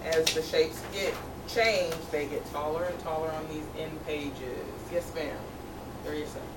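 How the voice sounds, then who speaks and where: A woman's voice: sits low, steady tone, unhurried. A woman teacher reading a picture book to young children, encouragingly asking questions and repeating their answers back. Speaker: teacher reading aloud to second graders. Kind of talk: interactive storybook read-aloud with questions. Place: room with a group of children. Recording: distant, echoey, noisy room recording.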